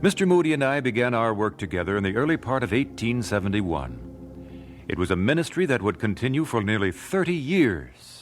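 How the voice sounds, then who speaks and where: A man's voice: lowish voice, very animated, moderate pace, soft background music. An actor playing an earnest, devout 19th-century family man, reflective, in a radio drama. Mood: resolved, narrating. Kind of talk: radio drama narration and dialogue.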